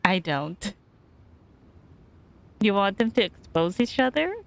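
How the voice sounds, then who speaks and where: A woman giving casual, musing live commentary as she streams a game, in a halting way. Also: medium pitch, big pitch swings, creaky.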